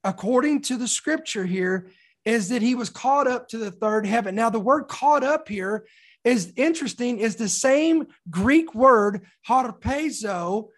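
Slightly hoarse preacher, bit raspy, walking thru a bible passage, leans on key words and repeats phrases. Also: mid-range voice. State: mildly hoarse from sore throat. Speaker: preacher teaching from scripture. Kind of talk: expository sermon with scripture reading.

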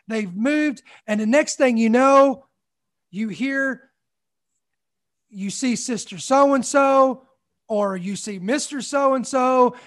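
A man in his forties preaching a sermon, emphatic, with repeated phrases and call-outs to the congregation. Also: very high voice. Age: 40s. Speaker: pastor.

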